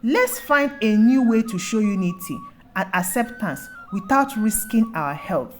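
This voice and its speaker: A woman's voice: on the high side, animated, soft background music. A woman voicing a dignified male village chief formally addressing his people in a folktale. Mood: solemn, persuasive. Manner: formal, deliberate oratory. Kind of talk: folktale storytelling.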